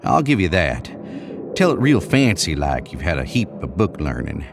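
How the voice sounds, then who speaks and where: A man's voice: rumbling low, big pitch swings, moderate pace, gravelly, music in the background, exaggerated voice. A man playing an irritable, skeptical cowboy on the trail, with plainspoken, grumbling frontier talk, in a Western audio story. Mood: dry.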